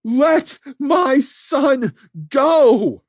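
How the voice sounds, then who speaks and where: A hoarse, frantic young mother cries out in panic, her voice pushed high above the reader's own, as a male narrator puts her on. Her calls come out dry and close, with no room around them. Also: sing-song, slow pace, no room sound, exaggerated voice. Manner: shouting, frantic. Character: desperate young mother, woman.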